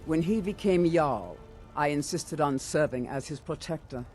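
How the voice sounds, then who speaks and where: An actor playing a proud, suspicious veteran bodyguard in a fantasy video game, speaking deliberately. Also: male voice, a little high, darkish tone, faint music behind, lightly acted. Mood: proud, guarded. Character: wary, proud veteran bodyguard of a lord, male.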